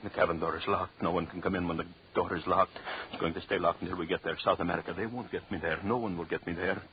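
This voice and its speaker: An actor in an old radio suspense drama playing a deranged, tormented, murderous anthropologist who talks obsessively to himself in a theatrical way. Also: male voice, deep voice, fairly even intonation, fast, lightly acted. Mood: brooding, haunted. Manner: theatrical, muttering to himself, repeating phrases obsessively. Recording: dull, noisy old radio recording.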